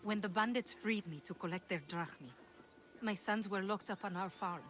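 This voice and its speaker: A woman's voice: mid-range voice, normal speed, lightly acted. A woman playing an earnest, troubled townswoman in a video game, with an emotional delivery. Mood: contrite, pleading. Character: earnest, troubled Greek townswoman pleading for help. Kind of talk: video game cutscene acting.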